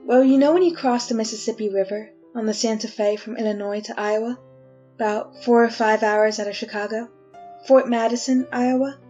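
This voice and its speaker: Death-row prisoner, played by a woman, for retro radio drama: higher voice, folksy and resigned, slightly dull sound over music. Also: warm tone, close to an everyday voice. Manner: colloquial, confiding. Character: condemned prisoner, wry, resigned, folksy storyteller. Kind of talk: 1940s radio drama acting.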